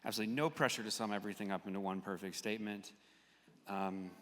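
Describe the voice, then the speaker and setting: A man hosting a live audience discussion, speaking off the cuff in a searching, halting way. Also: lowish voice, fairly even intonation, a bit bright. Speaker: host moderating an audience discussion. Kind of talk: spontaneous discussion moderating. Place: large hall at a live public event. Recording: echoey hall with long reverb.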